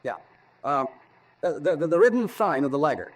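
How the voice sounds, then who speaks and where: Excitable, high-voiced amateur experimenter. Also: male voice, rough recording. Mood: amazed. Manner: halting, colloquial, mock-announcer flourishes. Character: excitable amateur inventor's helper, jokey reluctant announcer. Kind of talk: 1940s radio acting. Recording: vintage 1940s radio drama recording.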